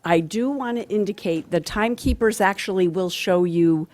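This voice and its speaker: A woman's voice: mid-range voice, moderate pace, a little mellow. A woman moderating a local candidates forum, explaining procedures in a friendly but hesitant way, with ums and restarts, into a table microphone. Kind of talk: announcing procedures at a public forum. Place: public candidates forum in a meeting hall.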